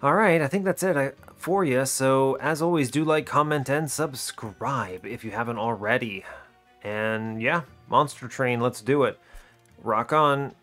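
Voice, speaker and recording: A man's voice: mid-range voice, unhurried, a bit bright, faint music behind. A man giving casual gameplay commentary, chatty and informal, thinking aloud as he explains his strategy. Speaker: host of a gaming video series.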